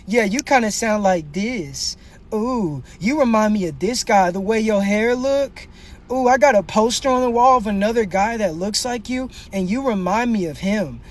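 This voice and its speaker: A man's voice: high voice, natural voice. A man mocking a simpering fanboy commenter. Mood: fawning. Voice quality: slow simpering drawl. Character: male troll commenter, mocking, fawning.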